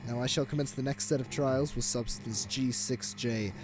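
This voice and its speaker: A man's voice: mid-range voice, fairly even intonation, moderate pace, noisy background, close to an everyday voice. A man playing a cold, gloating villain scientist in an audio drama, speaking in a clinical and haughty way. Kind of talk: fan audio drama acting. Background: loud dramatic music.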